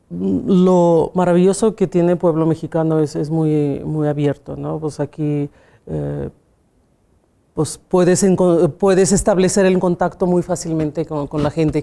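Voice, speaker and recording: Interviewee: Russian-accented woman, music teacher, warm low-key timbre, reflective. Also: steady tone, unhurried. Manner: reflective, often ending with a questioning tag, small grammar slips. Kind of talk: conversational interview answers.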